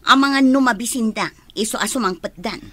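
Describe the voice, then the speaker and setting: A woman's voice: on the high side, fairly quick, pushed and bright, no room sound. A woman playing a harsh, scheming, indignant aunt in a radio drama, muttering and exclaiming. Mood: irritated. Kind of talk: radio drama acting in Ilocano.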